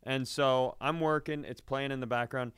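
Clear-voiced man telling rambling comic stories. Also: medium pitch, steady tone, moderate pace, a bit bright, no echo, clean studio sound. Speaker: comedy podcast co-host. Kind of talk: casual comedic storytelling chat.

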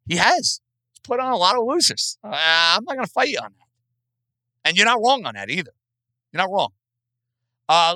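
This is a sports talk host who pushes his opinions hard and repeats himself for stress. His voice sits high and swings widely in pitch, with a bright, forceful edge. The sound is dry and close. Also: dry recording.

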